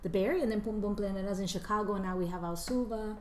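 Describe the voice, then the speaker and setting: A woman's voice: medium pitch, rapid-fire, a little mellow. A woman from the Texas-Mexico border, chatting as a guest on a casual podcast. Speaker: guest musician. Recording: echoey room with faint noise.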